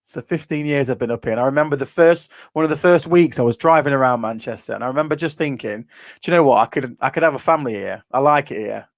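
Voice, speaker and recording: A man's voice: mid-range voice, quick pace, no room sound, studio quality. A man in his thirties, a podcast host, telling his story in casual chat, laughing easily. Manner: laughs easily, restarts, acts out quotes.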